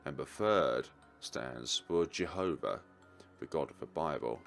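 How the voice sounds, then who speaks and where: A man voicing an ominous hooded figure from a visual novel, a solemn and cryptic prophet speaking slowly and portentously. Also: very deep voice, animated, vocal fry, soft background music, pitched below the performer's own voice, full character voice. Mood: ominous, grand.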